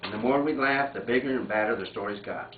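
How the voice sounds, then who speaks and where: A man telling stories and reading aloud informally among family, animated and often breaking into laughter. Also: mid-range voice, fast talker. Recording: muffled phone-like audio, echoey, distant, noisy room.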